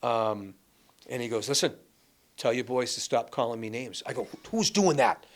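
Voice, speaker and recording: A man from Massachusetts, a guest and former school athletics director, telling animated stories in a casual chat with many restarts. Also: mid-range voice, normal speed.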